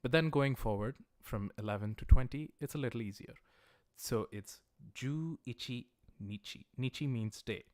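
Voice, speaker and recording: A man's voice: mid-range voice, darkish tone, dry recording. Patient man teaching Japanese dates. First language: English. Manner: patient, explains step by step, reads viewer questions aloud; slows down to pronounce Japanese words carefully. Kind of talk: livestreamed language lesson.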